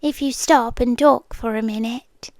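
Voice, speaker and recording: A young British woman performing a scripted ASMR girlfriend roleplay in a soft, whispery, intimate way, close to the mic. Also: a little high, steady tone, measured pace, no echo.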